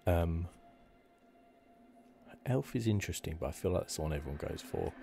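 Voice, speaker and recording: A soft-spoken man giving hesitant, rambling ASMR commentary as host of a video game playthrough. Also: deep voice, animated, moderate pace, creaky, soft background music.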